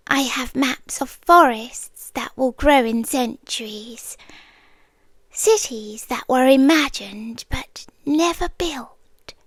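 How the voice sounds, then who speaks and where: A high, soft British female voice, a woman acting as an otherworldly keeper of maps in an ASMR roleplay. She is whimsical and knowing, speaking extremely slowly and often sinking into a breathy whisper. The sound is close and dry. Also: dry recording, heavily acted. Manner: slow, deliberate, musing, with dramatic pauses.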